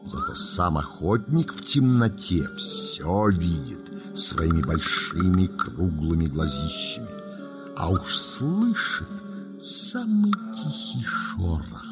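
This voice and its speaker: A man narrating a children's forest story in a dramatic, suspenseful, deliberate manner. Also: low-pitched, huge pitch swings, full character voice. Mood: suspenseful, storytelling. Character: hushed, mysterious storybook narrator of forest tales. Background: music.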